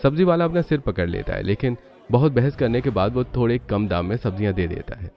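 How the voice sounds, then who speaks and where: A man's voice: medium pitch, expressive, fairly quick, faint music behind. A man narrating a scripted cartoon family story as the storyteller. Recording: dull lo-fi narration, faint noise.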